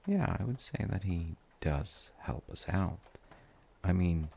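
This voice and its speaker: A man playing a gentle, reflective dad in an intimate audio roleplay, musing softly and reassuringly to a tired child with thoughtful pauses. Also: sing-song, slight background noise, exaggerated voice. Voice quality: gravelly, creaky low voice. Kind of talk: soft intimate sleep-aid audio roleplay.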